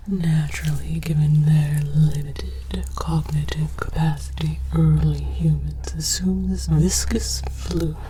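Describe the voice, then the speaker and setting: A woman whispers very slowly in a low, even murmur, playing a condescending alien researcher. Her ASMR narration is theatrical and mock-scholarly, delivered over clearly audible background noise. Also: rough recording. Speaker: host of an ASMR series.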